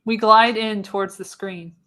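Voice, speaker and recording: A woman's voice: mid-range voice, fairly even intonation, a little slow, fairly bright tone. A woman reading screenplay narration for a live radio play, theatrical and emphatic on the action. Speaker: podcast host reading the narration.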